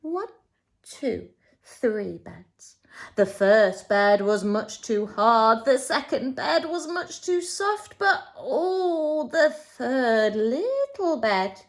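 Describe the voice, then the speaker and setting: A woman reads a storybook for little children in a slow, swooping voice. She leans on key words and leaves dramatic pauses, like an infant school teacher. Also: fairly high pitch, very animated. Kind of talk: expressive children's storybook reading.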